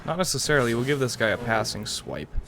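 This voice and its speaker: A man's voice: medium pitch, big pitch swings, fast talker, vocal fry, fairly bright tone. A young man giving casual let's-play commentary as a gaming video host, musing aloud in a rambling way over game music. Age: young adult.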